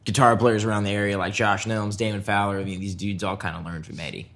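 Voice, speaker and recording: A young man with a fairly low voice talks about music in a relaxed, rambling way. He strings thoughts together with fillers and stops to restart his sentences. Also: fast talker. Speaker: guitarist guest. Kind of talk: casual conversation.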